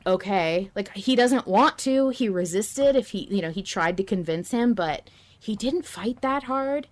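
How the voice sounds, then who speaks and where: A woman hosting a casual fan discussion podcast, speaking in an emphatic, passionate and halting way. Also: medium pitch, lively intonation. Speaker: podcast host.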